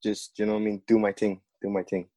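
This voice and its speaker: Low-voiced young man telling stories. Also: fast talker, no echo, studio quality. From Netherlands. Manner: relaxed storytelling, slangy with many fillers. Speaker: podcast guest, upcoming music artist. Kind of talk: casual podcast chat.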